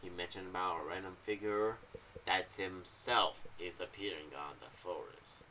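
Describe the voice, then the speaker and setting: Young male vlogger, lowish bright voice, casual rambling theory talk in a home room. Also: steady tone, moderate pace. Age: young adult. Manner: rambling, loosely phrased. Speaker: YouTuber reviewing an audio drama series. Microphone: condenser mic on boom arm with pop filter, slightly off to the side. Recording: slightly distant mic, faint background noise.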